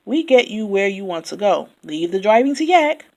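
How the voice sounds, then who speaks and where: A woman voicing a chatty male yak taxi driver who never stops talking, loud and indignant. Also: medium pitch, big pitch swings, moderate pace. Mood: chattering.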